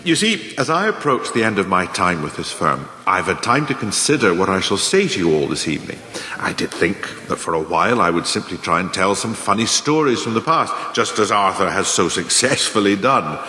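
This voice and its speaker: An actor playing a sardonic, cynical company manager giving a deliberate, rhetorical farewell speech in a radio play. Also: male voice, on the low side, pushed and bright. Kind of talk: radio play monologue, after-dinner speech. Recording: echoey hall with long reverb.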